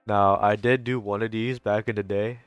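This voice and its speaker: A man giving casual gameplay reaction commentary as a content creator, talking in an informal, rambling, halting way. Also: on the low side, level tone, clean tone, dry recording, highs cut off, faint music behind.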